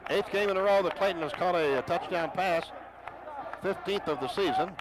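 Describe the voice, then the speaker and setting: Brief: male radio play-by-play caller, higher voice, noisy broadcast. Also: a crowd in the background. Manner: rapid excited calls rising to shouts on big plays. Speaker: play-by-play announcer.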